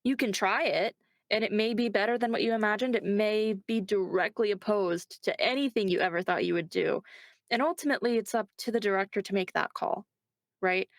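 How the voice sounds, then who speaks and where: A woman's voice: medium pitch, fairly quick, no room sound. Thoughtful woman in casual interview, dry sound. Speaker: actor being interviewed.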